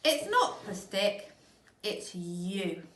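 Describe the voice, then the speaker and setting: A woman's voice: medium pitch, big pitch swings, very slow, a bit bright, exaggerated voice. A woman voicing a sly, nasty old man who taunts his wife, in an echoey room. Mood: taunting. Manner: gloating, taunting. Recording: echoey room, mic at a distance.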